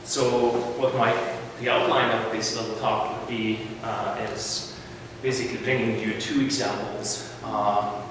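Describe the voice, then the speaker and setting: A man's voice: on the low side, little pitch movement. Hungarian-accented man lecturing in an echoey hall. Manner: halting, with frequent "uh" fillers and self-corrections. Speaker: university lecturer presenting at an expo. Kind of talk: conference presentation. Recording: echoey hall, mic far off, noisy.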